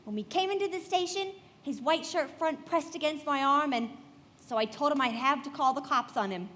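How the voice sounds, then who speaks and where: An actress playing a brazen, lovestruck mistress in a radio play, emotional and theatrical. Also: female voice, sits high, normal speed, a bit bright. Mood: infatuated. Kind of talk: live radio play acting. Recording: reverberant live hall with noise.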